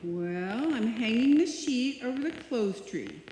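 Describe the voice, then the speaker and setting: Lady doing a dopey young secretary, high soft mellow voice, slow, radio comedy in echoey hall. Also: animated, slight background noise. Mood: naive, earnest. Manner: guileless, dim-witted delivery. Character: dopey, naive, earnest young female secretary. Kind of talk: live old-time radio comedy acting. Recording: echoey hall, live stage performance.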